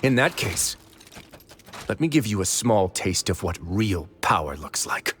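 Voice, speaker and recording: A man playing a principled, coolly defiant young prison warden in a video game, measured, confident and quietly threatening. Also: lowish voice, sing-song, a little hiss or hum. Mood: resolute. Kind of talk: dramatic video game voice acting.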